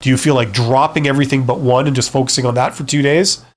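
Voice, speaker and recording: Male live stream host, career advisor type. Frank, casual, conversational delivery with frequent check-ins, medium pitch. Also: steady tone, quick pace. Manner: conversational, frank, often checks in with "right?" and "you know?". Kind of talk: casual live stream advice, answering viewer comments.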